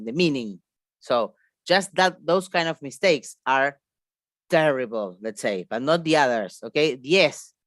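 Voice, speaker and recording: A woman's voice: sits low, dry recording, clean studio sound. Woman lecturer with an Argentine Spanish accent. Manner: emphatic, repeats phrases, frequent check questions. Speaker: English teacher trainer, seminar presenter. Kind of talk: online seminar lecture, spontaneous.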